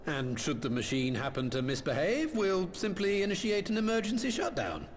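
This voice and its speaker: An actor playing a smugly confident, showy inventor with formal, grandiloquent wording in a video game cutscene. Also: male voice, sits high, sing-song, moderate pace, slight background noise. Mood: smug assurance. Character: theatrical, excitable male imperial inventor, smugly confident.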